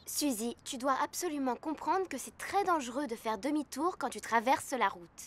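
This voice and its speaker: A woman's voice: high-pitched, animated, normal speed, background noise. A woman playing a patient, caring rescue-vehicle robot teaching children in a French-dubbed cartoon, earnest and instructive. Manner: instructive, earnest, clearly phrased.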